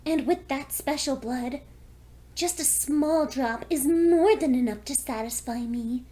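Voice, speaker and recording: Seductive vampire mare for audio story, played by a woman: high, mellow, predatory, courteously teasing. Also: a little slow. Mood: coolly predatory. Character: seductive, lonely female vampire pony, sweetly predatory. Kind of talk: fan fiction audio story acting.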